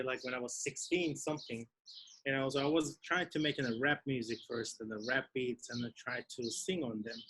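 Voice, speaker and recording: A young man with a Turkish accent talks over a video call, his English halting as he hunts for the right words. His pitch sits in a middling range for a man. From Turkey. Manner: halting, searching for words in a second language. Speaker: DJ and music producer.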